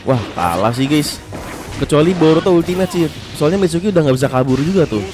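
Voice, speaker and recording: A young man with a stuffy, blocked nose giving excitable, slangy play-by-play of a fighting game and talking straight to his viewers. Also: on the high side, fast. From Indonesia. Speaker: gaming commentator. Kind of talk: casual gameplay commentary. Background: game music.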